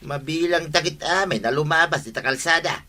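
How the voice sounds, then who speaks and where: A man's voice: on the high side, brisk, bright, forceful tone. A man acting a nosy, eager village husband in a comedic radio drama, with an Ilocano accent. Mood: observant.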